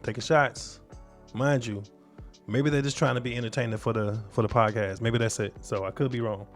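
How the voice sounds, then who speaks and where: A man's voice: mid-range voice, steady tone, moderate pace. A man with a mid-range voice gives casual reaction commentary as a host. He talks in an informal, slangy, conversational way, with faint music behind him.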